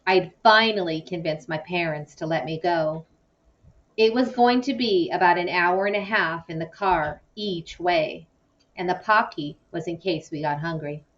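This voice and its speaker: A woman with a fairly low voice reads a children's novel aloud with expression. She sounds a little way from the microphone, and the recording is slightly muffled. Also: measured pace, recorded from a distance. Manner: animated, acts out dialogue. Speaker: audiobook-style reader.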